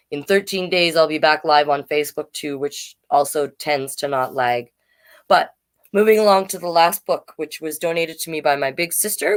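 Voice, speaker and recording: A woman hosting a children's livestream storytime as a drag king, chatting directly to viewers between pages. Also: sits low.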